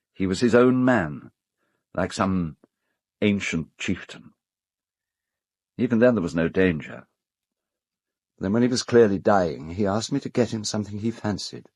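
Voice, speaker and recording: An actor with a British accent playing an urbane, cold, self-justifying gentleman in an audio drama, speaking in a measured, articulate, dryly ironic way. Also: low voice, husky, no room sound, clean studio sound, exaggerated voice. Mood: wistful, reflective.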